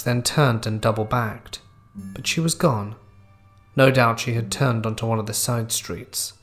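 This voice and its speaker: Male horror narrator, mid-pitched voice, scripted first-person storytelling, measured dramatic delivery.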